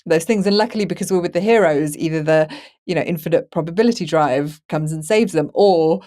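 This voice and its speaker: A woman's voice: sits low, fairly quick, dark tone. A woman hosting a casual discussion, speaking in an enthusiastic, opinionated way. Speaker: podcast host. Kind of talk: casual discussion of science fiction.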